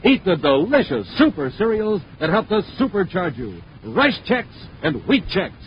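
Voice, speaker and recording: A man's voice: high-pitched, sing-song, normal speed. A man announcing with emphatic, punchy delivery in scripted 1950s radio style. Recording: dull, faintly noisy 1950s radio recording.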